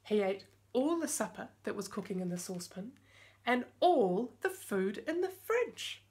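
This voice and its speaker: A middle-aged woman, reading a storybook aloud to young children in an expressive way. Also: medium pitch, unhurried. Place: small indoor room with bookshelves.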